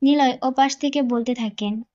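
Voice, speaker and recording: High-voiced woman narrating a romance. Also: moderate pace, a little mellow, dull audio. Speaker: story narrator. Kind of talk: scripted dramatic romance narration.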